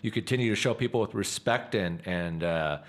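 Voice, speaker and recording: A man hosting a live studio talk show, halting and full of restarts as he praises his guest. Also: low-pitched. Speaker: host. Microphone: studio mic.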